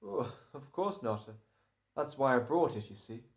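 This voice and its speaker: A man's voice: mid-range voice, expressive, normal speed, vocal fry, slight background noise. A man playing a smug, careful hired killer, speaking with hesitant, mannered politeness in a radio drama. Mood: quietly smug. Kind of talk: old-time radio drama acting.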